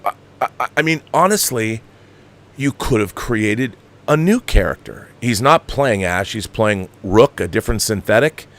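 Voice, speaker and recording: A man's voice: on the low side, expressive, measured pace, a bit bright. A man hosting a film commentary show, giving an opinionated, exasperated and emphatic review peppered with swearing. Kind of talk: opinionated movie review rant.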